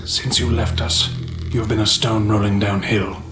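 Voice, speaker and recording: A man's voice: fairly low pitch, flat delivery, moderate pace, lightly acted. A man playing a cryptic, solemn keeper in a fantasy game, speaking formally and portentously. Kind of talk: scripted fantasy game dialogue. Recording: echoey, with music behind. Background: music.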